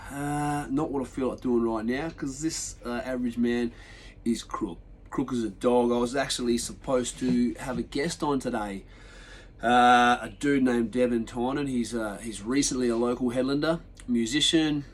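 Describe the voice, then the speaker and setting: An Australian man hosting his own podcast solo, sounding nasal and chesty from a sinus infection, rambling through a relaxed story with lots of ums and restarts. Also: medium pitch, normal speed. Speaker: podcast host. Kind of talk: casual solo podcast chat.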